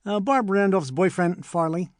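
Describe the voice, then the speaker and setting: Man playing an easygoing dad, higher-pitched voice. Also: moderate pace, no room sound, clean studio sound, natural voice. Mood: reassuring, casual. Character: easygoing, reassuring middle-aged dad. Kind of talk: dialogue in an audiobook memoir.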